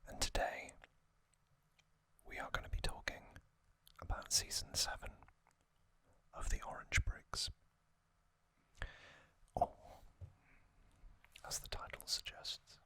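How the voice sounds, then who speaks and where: A host speaks entirely in a soft, unvoiced ASMR whisper, taking a slow, unhurried pace. The tone is thin and bright, with little rise and fall, in a gentle, measured storytelling manner. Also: dry recording.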